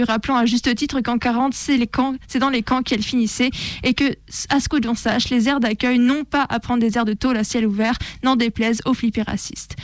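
A woman's voice: fairly high pitch, dry recording. A woman with a French accent presenting a scripted opinion piece on radio in a militant, rhetorical, slangy way. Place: radio studio.

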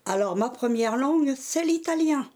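An older French woman recalling her schooling and early adult life in an interview, telling it in a measured way in the present tense. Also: high voice, animated, darkish tone. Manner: measured storytelling in the historic present. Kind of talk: oral history interview.

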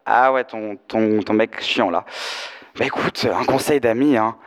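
A young man playing a flamboyant, fashion-obsessed DJ friend, gossiping in trendy slang with theatrical, drawn-out exclamations in comic audio fiction. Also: on the low side. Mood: encouraging. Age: teenager or young adult. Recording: echoey hall, live stage performance.

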